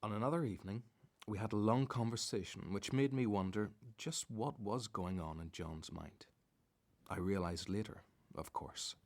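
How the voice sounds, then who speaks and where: A man's voice: on the low side, normal speed, a little mellow, dry recording. A man playing a reflective narrator who recalls a friend in scripted radio-drama storytelling. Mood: reflective, pensive.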